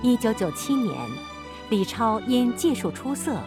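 A woman's voice: a little high, unhurried, music in the background, natural voice. A woman performing scripted narration for a Chinese radio drama in a solemn, uplifting storytelling manner. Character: solemn, uplifting female documentary-style narrator.

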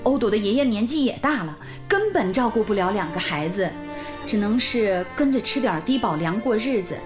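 A woman's voice: mid-range voice, expressive, normal speed, lightly acted. An actress playing a friendly, caring woman who teaches at a village school, in a radio drama. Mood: sorrowful concern. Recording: music under the dialogue.

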